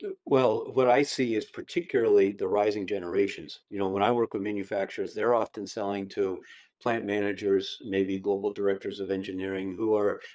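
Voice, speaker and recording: A man in his fifties hosts a podcast with a low voice on a close, dry mic. He sounds wry and animated, and his pitch climbs sharply when he mimics a stubborn client. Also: fairly quick, no room sound. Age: 50s. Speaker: podcast host. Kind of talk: conversational interview.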